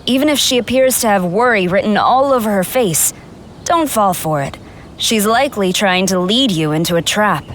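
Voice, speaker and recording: A woman's voice: mid-range voice, expressive, normal speed, slight background noise, natural voice. A woman playing a stern, dignified general in a video game, speaking formally and with reserve. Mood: stern, cautioning.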